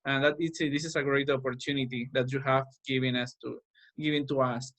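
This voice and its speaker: A woman's voice: a bass voice, flat delivery, a little fast. A woman English teacher hosting an online class, polite and encouraging, with hesitant fillers.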